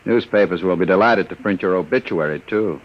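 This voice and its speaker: An actor playing a smooth, menacing gangster who talks in a cold, mocking way in a 1950s radio drama. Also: male voice, sits low, brisk, natural voice. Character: smooth menacing male gangster, middle-aged. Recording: muffled old radio broadcast.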